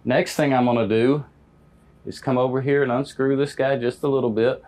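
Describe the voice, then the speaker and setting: A middle-pitched American man teaches as an experienced trade technician. He talks in a folksy, plain-spoken manner, walking through a hands-on demonstration step by step. The delivery is casual and practical. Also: steady tone, moderate pace, a little mellow. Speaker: HVAC technician instructor. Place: indoor parts warehouse. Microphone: lapel mic.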